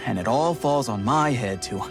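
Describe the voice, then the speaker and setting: A man voices a harried, grumbling leader from a video game, overworked and exasperated. His mid-range voice swings widely in pitch. Faint music runs behind it. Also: lively intonation, moderate pace, close to an everyday voice. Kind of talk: video game cutscene voice acting.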